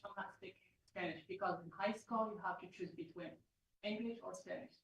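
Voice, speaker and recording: Male student, halting, heard from far in an echoey room. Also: high voice, gravelly, a bit bright, a little hiss or hum. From Morocco. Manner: halting, searches for words. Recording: dull roomy sound from far off the mic.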